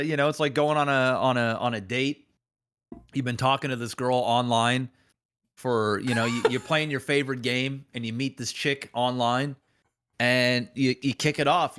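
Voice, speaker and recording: Rambling joking man, mid-pitched voice. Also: steady tone, normal speed, a bit bright, clear, resonant tone. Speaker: podcast co-host. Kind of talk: casual storytelling chat.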